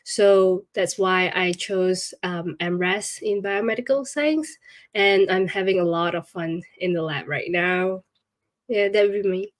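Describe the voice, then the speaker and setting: A woman's voice: fairly low pitch, measured pace. A woman with a Malaysian accent, a postgraduate student answering questions on an online panel. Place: online video call.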